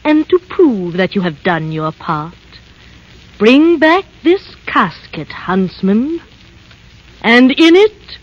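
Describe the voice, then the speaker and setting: A woman's voice: a little high, very animated, highs cut off, full character voice. A woman playing a cold, imperious evil queen in a theatrical, commanding 1930s radio drama style. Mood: menacing. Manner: commanding, theatrical, deliberate delivery. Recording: band-limited 1930s radio broadcast.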